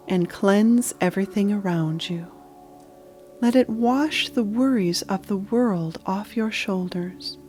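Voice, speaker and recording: A woman narrating a guided meditation in a soothing, measured manner with deliberate pauses. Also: medium pitch, a little mellow.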